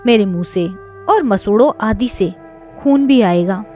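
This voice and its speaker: An earnest grown son speaks plainly and with devotion in a story , played by a woman narrator. The delivery has lively pitch movement at a middle female height. Soft music runs behind it. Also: a little slow, lightly acted. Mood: sorrowful, earnest. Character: adult son, a doctor, earnest and devoted to mother.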